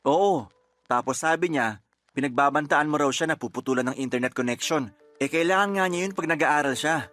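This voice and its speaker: A man's voice: fairly high pitch, fairly quick, fairly bright tone, soft background music, close to an everyday voice. A man playing a reproachful, protective boyfriend in a Filipino radio drama, earnest and reproving, with a Filipino accent. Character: reproachful boyfriend, protective of his younger sister.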